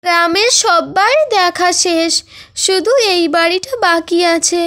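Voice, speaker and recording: A performer voicing a plucky, loyal little ghost boy in an animated moral story, earnest and exclamatory. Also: extremely high pitch, a little slow, heavily acted. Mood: earnest, determined.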